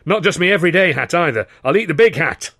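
Guy doing blustery bossman for an audiobook, pompous and scornful, pushed up higher than his own voice. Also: animated, fast, a bit bright, no room sound, clean studio sound. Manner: pompous, emphatic, colloquial. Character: blustering, domineering male comedy company boss, scornful. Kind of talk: audiobook character voice.

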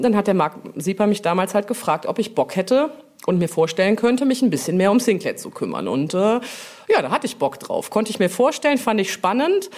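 A woman's voice: medium pitch, darkish tone. A woman speaking as an interview guest, in an informal, colloquial and chatty way. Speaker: interview guest, publishing brand manager. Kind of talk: casual interview talk.

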